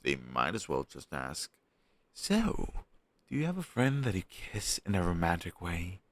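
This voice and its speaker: A man performs a flustered young guy with a very low, gravelly voice in an intimate audio roleplay. His intonation swings widely as he talks around a crush on his best friend. He is halting and sheepish, like someone in a private conversation. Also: very animated, heavily acted. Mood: embarrassed, exasperated.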